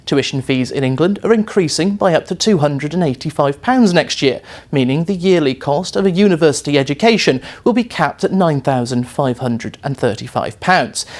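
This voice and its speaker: A man narrating a news explainer with a measured, polished newsreader delivery. His voice sits a little high for a man, and every sentence comes out clean and even. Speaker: news reporter.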